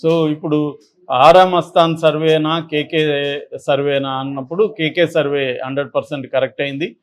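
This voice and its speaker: Man, slightly high pitch, political commentary, unscripted, explanatory, emphatic, repetitive. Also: steady tone, moderate pace, clean tone. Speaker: political analyst on a news discussion channel.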